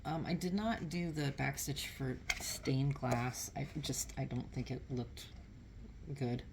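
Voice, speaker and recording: Crafter woman, thirties; low, gravelly, cold-nasal voice; rambling home vlog with faint room hum. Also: takes it slowly, darkish tone. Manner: rambling and self-correcting, with light laughs. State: nasal, getting over a cold. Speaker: cross stitch vlogger. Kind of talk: casual chat to camera. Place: small indoor room at home.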